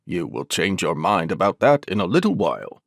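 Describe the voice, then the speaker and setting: A deep, dryly recorded storybook voice of an odd mountain man, played by a woman. He is pompous yet hospitable, declaiming with big rises and falls in pitch. The manner is solemn and full of his own importance. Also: big pitch swings, moderate pace, creaky, no room sound, clean studio sound, full character voice. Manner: solemn, pompous, declamatory, sing-song in verse. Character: Bumpy Man, quirky hospitable self-important mountain hermit covered in bumps.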